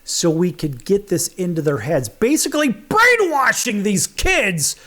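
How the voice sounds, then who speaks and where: A man hosting a sports talk show, animated and firing off rhetorical questions. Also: high voice, a little slow. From United States. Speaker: host.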